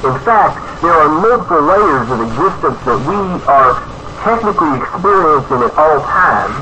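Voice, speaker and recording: A man's voice: fairly high pitch, big pitch swings, normal speed. A man playing an earnest, rambling UFO conspiracy author being interviewed on an old, noisy cassette radio broadcast. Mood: insistent. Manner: folksy, rambling, insistent. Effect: vintage radio tape. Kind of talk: improvised-sounding radio interview.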